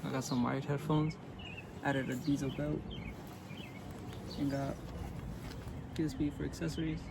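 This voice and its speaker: A young man with a voice raspy from illness, chatting casually to viewers as he reviews and styles clothes at home. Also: fairly high pitch, flat delivery, unhurried, very noisy. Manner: laid-back slangy talk to viewers. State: raspy from being sick. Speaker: fashion vlogger.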